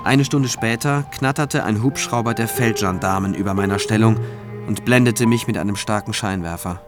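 An actor playing a shaken military prosecutor who recounts an ordeal in a war zone, in a radio drama with music or battle noise behind him. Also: male voice, lowish voice, moderate pace, natural voice. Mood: grave, reflective. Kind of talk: German radio drama, first-person narration and dialogue.

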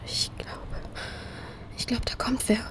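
Hushed, wary young woman played by an actress. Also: a little slow, close to an everyday voice. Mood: alarmed, hushed. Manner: hushed, confiding, often half-whispered. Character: wary young woman sleuthing in hiding with a friend. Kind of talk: naturalistic audio drama dialogue.

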